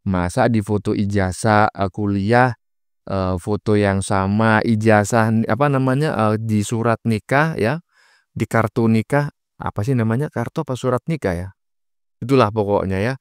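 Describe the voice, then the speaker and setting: A man's voice: lowish voice, normal speed, clear, resonant tone, no room sound, studio quality. A man giving informal political reaction commentary in a mocking manner, full of rhetorical questions. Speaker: host of a reaction channel.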